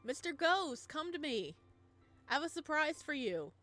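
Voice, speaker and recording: A woman voicing an eccentric single-dad inventor in a visual novel. He speaks earnestly and stumbles over his words when flustered, and the voice stays fairly high and lively. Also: normal speed, faint music behind, heavily acted. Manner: stammering, earnest.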